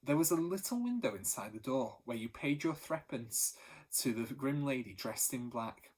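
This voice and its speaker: A male teacher reading a children's storybook chapter aloud, steady and expressive, lifting his voice for the characters. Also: medium pitch, normal speed, slightly rough.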